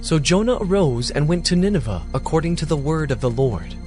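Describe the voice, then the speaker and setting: Brief: male narrator, slightly high, very lively intonation, scripted scripture reading. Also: fairly quick, music in the background.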